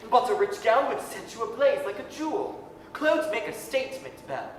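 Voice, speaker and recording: A woman's voice: on the high side, expressive, normal speed, natural voice. A woman playing an earnest, ambitious young businessman and suitor in a 1930s radio-style stage reading. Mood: ardent. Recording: very echoey hall, distant, faint noise.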